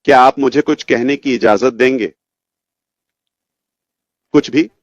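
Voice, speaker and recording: A man's voice: mid-range voice, takes it slowly, no room sound. A man in his fifties giving an informal motivational update as a company leader, rambling and addressing his listeners directly. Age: 50s. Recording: dull lo-fi audio, dry.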